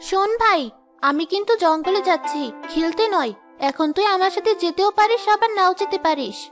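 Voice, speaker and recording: Woman as a very high-pitched dutiful village girl. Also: lively intonation, a little fast. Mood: earnest. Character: caring, hard-working poor village girl, dutiful elder sister. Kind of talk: children's moral-story cartoon dubbing. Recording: music behind the voice.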